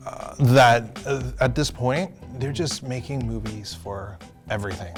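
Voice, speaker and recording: A man's voice: medium pitch, a little slow, music in the background. A man chatting casually as a discussion guest, frank and critical in how he gives his opinions. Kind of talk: casual chat.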